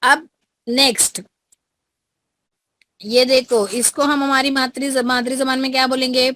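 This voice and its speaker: A woman's voice: high voice, steady tone, unhurried, fairly bright tone, no room sound. A woman teaching an online class to schoolchildren over a video call, coaxing them and repeating her prompts, with an Indian accent.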